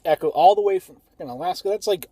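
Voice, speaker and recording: A man's voice: high voice, fast talker, noisy background. A young American man chatting casually as an amateur radio operator, recorded inside a car. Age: young adult. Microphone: phone camera facing him, close.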